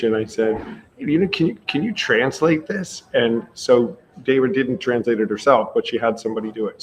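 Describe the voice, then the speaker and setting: A man hosting a casual livestream interview, thinking aloud and repeating himself for emphasis. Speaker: livestream host. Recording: clear rumbly background noise.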